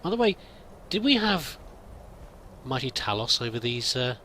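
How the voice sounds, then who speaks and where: Bright-voiced man musing through a game. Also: mid-range voice, big pitch swings, moderate pace, a little hiss or hum. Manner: musing, hesitant, thinking aloud. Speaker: let's play roleplayer. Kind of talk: in-character game commentary.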